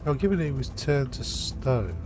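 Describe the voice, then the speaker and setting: Casting: male game streamer from the UK, average pitch, easygoing commentary, faint music behind. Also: big pitch swings, normal speed.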